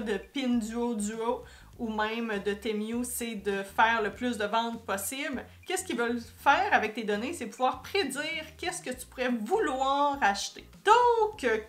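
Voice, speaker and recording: High-voiced Québécois woman, casual explainer. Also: fairly bright tone. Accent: Québécois French. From Quebec, Canada. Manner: conversational, self-correcting, addresses viewers directly. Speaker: YouTube host and marketing commentator. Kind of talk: casual explanatory commentary to viewers.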